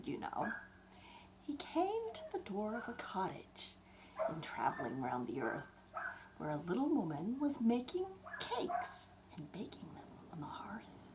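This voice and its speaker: An older woman tells a bedtime story in verse to children, with a breathy, often hushed, expressive delivery. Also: lowish voice, background noise.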